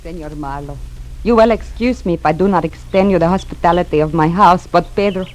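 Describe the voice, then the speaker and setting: An actress playing a worried, courteous Spanish mother in her sixties, speaking halting, formal English with a Spanish accent in an old radio drama. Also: lowish voice, darkish tone, close to an everyday voice, low-quality recording. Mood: polite, worried. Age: 60s. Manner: halting, formal, searching for words. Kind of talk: 1940s radio drama acting. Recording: old radio broadcast recording.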